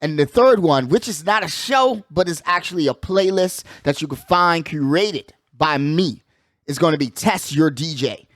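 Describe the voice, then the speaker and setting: A man's voice: on the high side, lively intonation, normal speed, fairly bright tone, dry recording, studio quality. A man hosting a casual sports talk show, slangy and emphatic, often repeating his catchphrases. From United States. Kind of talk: casual hyped sports chat.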